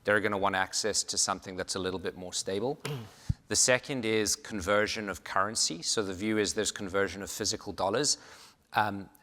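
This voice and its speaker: A man from South Africa speaking on a conference panel, explaining points in a measured way with frequent fillers. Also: lowish voice, fairly even intonation, pushed and bright. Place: conference hall. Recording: hall reverb.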